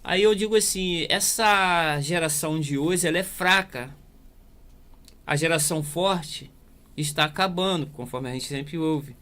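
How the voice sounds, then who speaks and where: A man's voice: fairly high pitch, a little slow, pushed and bright. A Brazilian man in his fifties talking casually as an interviewer, restating his phrases as he builds a point. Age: 50s. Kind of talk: casual conversational talk.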